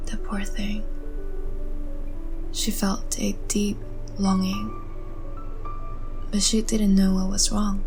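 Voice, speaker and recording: Female vampire, ageless and refined, played by a woman, for ASMR audio drama. Soft whispery delivery, slow and creaky, wistful and tender. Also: medium pitch. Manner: slow, musing, gently formal with pauses. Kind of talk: intimate ASMR audio roleplay.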